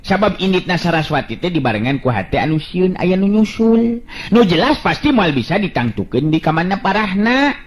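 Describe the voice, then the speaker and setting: A man's voice: sits high, very animated, moderate pace. A man with a Sundanese accent telling a folk tale and voicing its hero, chuckling often between lines. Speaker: folk storyteller.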